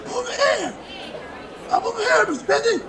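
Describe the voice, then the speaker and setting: An actor playing a loyal, cheeky male valet and chauffeur in old radio comedy, with a raspy, creaky voice. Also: extremely high pitch, sing-song, normal speed. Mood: calling out, eager. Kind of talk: 1950s radio comedy acting. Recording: live crowd behind.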